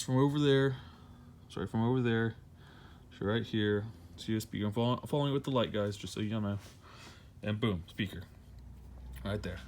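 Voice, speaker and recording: An American man giving a casual, rambling hands-on how-to, repeating himself and apologizing as he goes on a handheld camera. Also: medium pitch. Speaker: DIY vehicle vlogger. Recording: noisy handheld amateur video.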